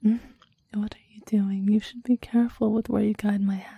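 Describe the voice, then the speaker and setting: A woman acts out a devoted, clingy demon lover in an ASMR romance. The voice is very dark and soft, mostly a breathy whisper, unhurried and very dry at the mic. The delivery is intimate and yearning, with formal, reverent phrasing. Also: medium pitch, no room sound. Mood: pleading, hesitant. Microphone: close microphone, dry.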